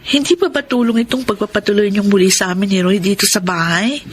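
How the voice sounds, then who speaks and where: A woman's voice: medium pitch, steady tone, quick pace, slight background noise, lightly acted. A woman playing a weary, grateful young pregnant wife in a radio drama, with a Filipino accent. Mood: tearful gratitude.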